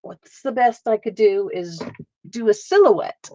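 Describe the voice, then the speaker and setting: A woman's voice: medium pitch, lively intonation, measured pace, highs cut off, background noise. A woman hosting a casual live art stream, chatting and laughing with viewers as she works, with a slight Canadian accent. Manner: chatty, giggly, talks to viewers while crafting.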